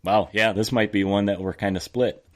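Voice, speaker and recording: A man's voice: fairly low pitch, steady tone, moderate pace. A man hosting a tabletop gaming podcast in casual chat, rambling and often trailing off mid-sentence. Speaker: podcast host.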